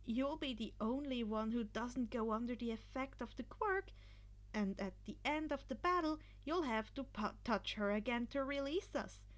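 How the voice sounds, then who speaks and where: A woman's voice: a little high, expressive, moderate pace, lightly acted. A woman voicing an earnest, analytical teenage boy who explains at length, in an amateur story reading. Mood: earnest, explaining. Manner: rambling, explanatory. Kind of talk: amateur fan fiction audio reading.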